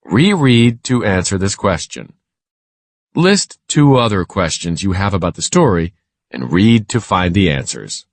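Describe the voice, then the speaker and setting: Man, scripted educational narration, deepish pitch, swooping intonation, measured instructional delivery. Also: very animated, no echo. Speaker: narrator of a children's reading lesson. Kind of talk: scripted read-aloud lesson narration.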